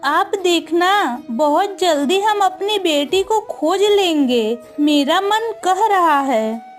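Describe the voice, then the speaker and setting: A woman's voice: very high voice, animated, slow pace, soft background music, exaggerated voice. A woman voicing a sorrowful but steadfast landlord's wife in a cartoon moral story, pleading and consoling. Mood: sad, consoling.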